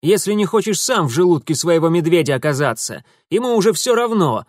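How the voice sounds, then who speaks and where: A proud, quick-tempered young nobleman speaks imperiously with a raised, tense pitch, voiced by a male narrator. He sounds easily offended. The read is dry and close to the mic. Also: lively intonation, no echo. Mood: indignant. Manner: indignant, imperious.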